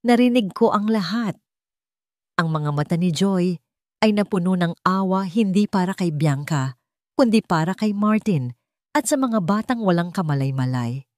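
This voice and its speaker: A woman's voice: lowish voice, expressive, moderate pace, a little mellow, dry recording, studio quality. A woman narrating a scripted dramatic story as a storyteller.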